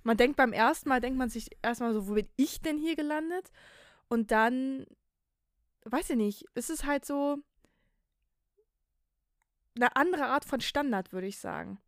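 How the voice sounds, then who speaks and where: A young woman co-hosts a casual podcast. Her voice sits slightly high, and she talks in an expressive, effusive, slangy way. The sound is dry, with very little room in it. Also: unhurried, long pauses, dry recording. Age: young adult. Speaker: podcast co-host. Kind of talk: casual chat.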